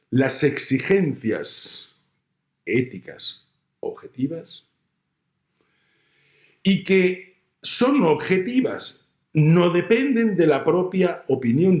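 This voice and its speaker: A middle-aged man with a Spanish accent from Spain lectures slowly, pausing often between phrases. He explains his point patiently with everyday examples. The room carries a noticeable echo. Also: a little high, darkish tone. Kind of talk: recorded university lecture.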